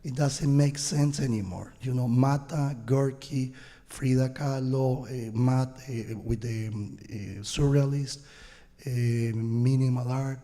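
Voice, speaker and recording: Panelist, man, very dark and flat, emphatic, hall echo. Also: medium pitch, slow pace. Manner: emphatic, searching for words. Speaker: panelist, art dealer. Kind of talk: panel discussion. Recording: echoey hall.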